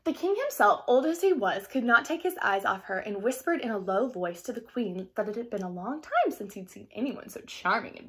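Animated young woman reading a fairy tale aloud. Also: a little high. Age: young adult. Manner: theatrical, explanatory asides. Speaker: storybook reader. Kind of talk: expressive children's read-aloud. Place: small indoor room at home.

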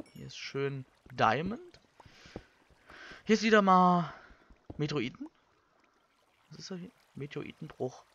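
Higher-pitched young man, slightly muffled. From Germany. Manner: colloquial, thinking out loud. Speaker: Let's Play host. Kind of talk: casual gameplay commentary. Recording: slightly dull, lo-fi microphone.

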